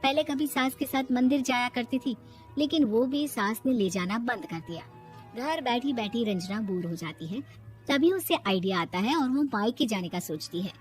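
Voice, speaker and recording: High-pitched woman narrating a story. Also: expressive, moderate pace, faint music behind.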